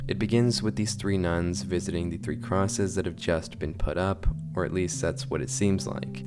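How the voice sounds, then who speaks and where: A man reading scripted, explanatory narration in the style of an online horror video breakdown. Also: deep voice. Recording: music under the voice. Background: background music.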